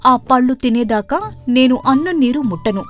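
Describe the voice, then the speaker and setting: Woman as a high-voiced stubborn young princess. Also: lively intonation, normal speed, soft background music. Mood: insistent. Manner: insistent, coaxing. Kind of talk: storybook cartoon character voice.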